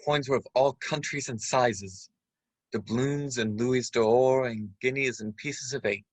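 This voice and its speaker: A man playing the narrator of a radio play, gravely recounting a boyhood adventure. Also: medium pitch, measured pace, dry recording, natural voice. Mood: measured suspense. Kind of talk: scripted dramatic narration.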